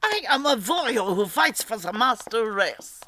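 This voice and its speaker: A woman's voice: a little high, big pitch swings, moderate pace, bright, forceful tone, slight background noise. A woman playing an evil, fanatical Nazi supervillain queen in a comedic radio play, with a German accent and a grandiose, menacing manner. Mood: cold, commanding.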